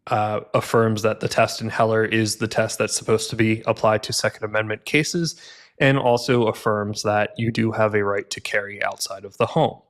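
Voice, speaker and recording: A man who is a guest on a podcast, calmly explaining court cases and legal strategy in a measured, methodical way. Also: fairly low pitch. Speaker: guest from a gun rights legal group. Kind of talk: explaining litigation in a podcast interview.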